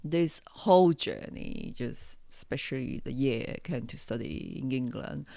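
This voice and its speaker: Female podcast host, Taiwanese accent. Slow, thoughtful, pausing delivery in a close, dry sound. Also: a bass voice, lively intonation, gravelly, dark tone, no echo. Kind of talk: unscripted personal podcast monologue.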